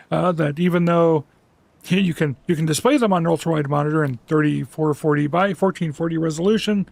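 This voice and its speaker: Gravelly man chatting on a livestream. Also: a little high, dry recording. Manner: rambling, many restarts and fillers; relaxed, soft-spoken. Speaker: streamer. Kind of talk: casual livestream chat. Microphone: headset.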